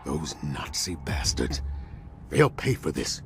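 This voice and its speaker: An actor playing a grim, defiant American football player, narrating a game story in a brooding, hard-boiled way. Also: male voice, a bass voice, very animated, takes it slowly, music in the background, heavily acted. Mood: grim resolve. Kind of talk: scripted noir-like game narration.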